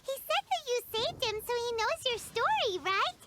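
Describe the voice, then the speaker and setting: A woman voicing a tiny, childlike female fairy companion in a video game, squeaky, curious and pert. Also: sing-song, moderate pace, very noisy. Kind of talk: scripted video game voice acting.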